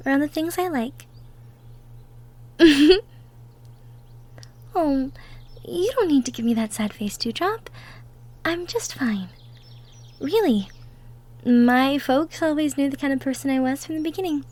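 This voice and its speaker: A woman playing a cheerful, gentle young woman from the woods in an intimate roleplay audio drama, with a sweet, folksy manner. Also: high-pitched. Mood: wistful, reassuring.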